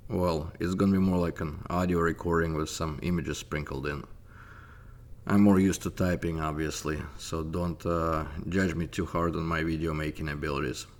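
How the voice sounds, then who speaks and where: Man, russian accent, very low voice, middle-aged, measured commentary, pauses with uh. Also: little pitch movement. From Soviet Union. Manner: measured, deliberate, with frequent "uh" pauses. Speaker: independent history researcher. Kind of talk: narrated audio commentary.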